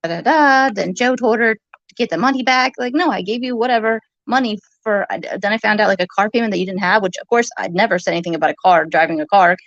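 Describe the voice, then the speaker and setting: A woman's voice: medium pitch, fast, a bit bright, dry recording. A woman in a heated, informal argument, talking in an accusatory, rambling way and sometimes swearing. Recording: muffled lo-fi audio.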